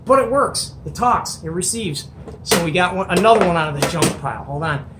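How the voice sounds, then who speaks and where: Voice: medium pitch, animated, fairly quick. A host doing a casual hands-on show and tell, enthusiastic and colloquial, with folksy exclamations. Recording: echoey room with faint noise.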